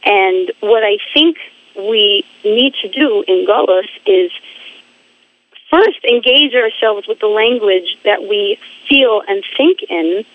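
A woman speaking as a guest in a phone interview, halting and repeating words while she searches for her phrasing. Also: medium pitch, expressive. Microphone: phone line. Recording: tinny phone line.

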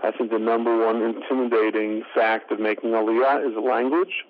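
Man, interview guest, halting speech, lots of fillers, tinny phone-line sound. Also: fairly low pitch, little pitch movement, a little hiss or hum. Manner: halting, full of fillers like "uh, you know".

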